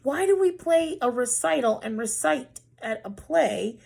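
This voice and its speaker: Woman reader as quirky male teacher, children's storybook; high-set voice, emphatic rhetorical questioning. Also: animated, unhurried, vocal fry, a little mellow, breathy edge, full character voice. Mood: puzzled, insistent. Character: quirky male schoolteacher puzzling over the English language.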